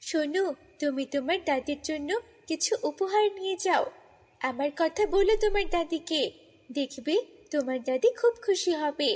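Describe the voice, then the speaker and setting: A woman voicing a kindly, beautiful fairy in a children's cartoon, earnest and gently admonishing. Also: high-pitched, moderate pace, big-room sound, pitched above the performer's own voice, natural voice.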